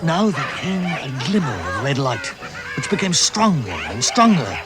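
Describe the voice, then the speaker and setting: A man's voice: medium pitch, wildly swooping pitch, unhurried, over loud music. A man narrating a vintage radio dramatisation in a dramatic storybook style.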